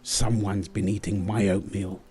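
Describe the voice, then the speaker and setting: A man's voice: deep voice, flat delivery, unhurried, a little mellow, faint music behind. A man voicing a puzzled grown-up father bear in a children's bedtime storybook, with perplexed, formal phrasing.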